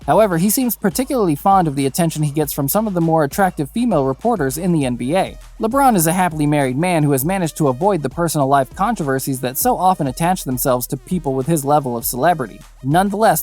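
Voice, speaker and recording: Man narrating a scripted sports compilation. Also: fairly high pitch. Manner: even, read-aloud delivery. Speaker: narrator of a basketball compilation channel.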